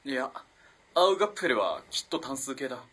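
An actor playing an earnest, lovestruck young student in an early twentieth-century Japanese radio play, halting and moving between hushed and impassioned delivery. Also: male voice, medium pitch, big pitch swings, breathy edge, heavily acted. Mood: earnest, reasoning. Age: young adult. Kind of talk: dramatic radio play acting.

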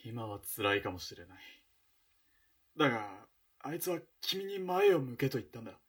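An actor plays a loyal, grieving young man in a radio drama, a little distant from the mic. His mid-pitched voice moves widely. He is stern and emotional, a firm protector of his friend's beloved. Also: wildly swooping pitch, moderate pace, vocal fry, fairly bright tone, heavily acted. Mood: subdued, consoling. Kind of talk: melodramatic Japanese radio drama acting.

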